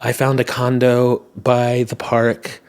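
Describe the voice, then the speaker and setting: A man hosting a podcast in casual solo chat, rambling and wry, his voice tired and slightly hoarse. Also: medium pitch, completely flat delivery, slow. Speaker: podcast host. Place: at home, indoors.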